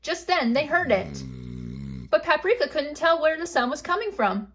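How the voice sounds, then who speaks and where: A woman with a high, light voice narrates a children's story. She reads it out as a simple storybook bedtime tale. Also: big pitch swings, normal speed, fairly bright tone, soft background music.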